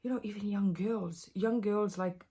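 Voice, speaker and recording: A woman's voice: medium pitch, steady tone, a little slow, dark tone, slightly rough. A woman chatting confessionally to camera as a vlogger, candid, emotional and rambling. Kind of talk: confessional casual chat to camera.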